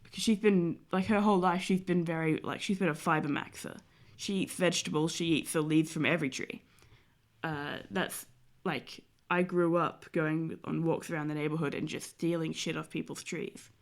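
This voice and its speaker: A woman's voice: sits low, steady tone, normal speed. A young woman streamer, nasal and stuffy from a cold, chatting casually and rambling with many fillers as she draws. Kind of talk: casual chat while drawing.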